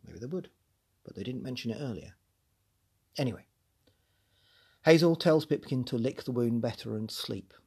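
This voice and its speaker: A man's voice: mid-range voice, animated, moderate pace, gravelly, long pauses, warm tone, husky. An English man hosting a podcast, talking through a book chapter in a chatty, musing way with many restarts. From England. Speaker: podcast host.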